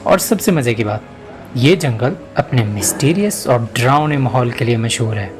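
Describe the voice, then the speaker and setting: A man playing a lively young university student who loves adventure, in an audio drama. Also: medium pitch, moderate pace, faint music behind, natural voice. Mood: eager. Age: young adult.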